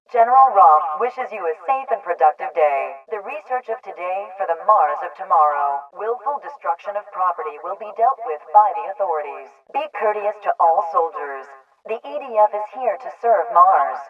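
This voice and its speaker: A stern female colony announcer speaks over a public loudspeaker in a sci-fi game. Her delivery is official, measured and impersonal, with calm authority. The sound is thin and narrow like a radio, coming from some distance away. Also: fairly low pitch. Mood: measured, promotional. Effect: loudspeaker radio filter. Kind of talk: scripted propaganda broadcast in a video game. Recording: muffled, band-limited distant loudspeaker broadcast.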